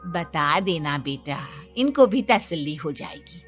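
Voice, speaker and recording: A woman playing an indulgent, protective mother in a cartoon, coaxing and gently chiding. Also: sits low, lively intonation, slow, soft background music. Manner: coaxing, soothing the son, chiding the husband. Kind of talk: Hindi cartoon moral-story acting.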